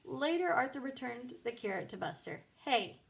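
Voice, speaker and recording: A woman's voice: fairly high pitch, moderate pace, creaky. A woman narrating a children's book aloud as a storybook reader.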